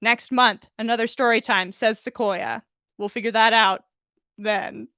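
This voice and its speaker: Casting: woman podcast host, highish and very bright, expressive reader with a casual livestream style. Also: unhurried, creaky, no echo, studio quality. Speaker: podcast host reading fan fiction. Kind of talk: expressive story reading and casual livestream chat.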